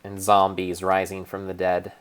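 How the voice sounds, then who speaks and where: A man narrating his own gameplay as a solemn, theatrical dramatic reading, with pauses and small slips. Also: sits low, completely flat delivery, smooth. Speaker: game streamer narrating play. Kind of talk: mock-dramatic storybook narration over gameplay.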